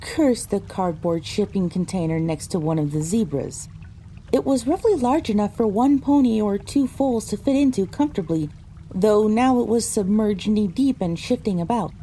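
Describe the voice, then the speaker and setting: A woman playing a pony who narrates her own adventure in a measured, descriptive storytelling style. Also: medium pitch, warm tone, slight background noise, natural voice. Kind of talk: scripted first-person story narration.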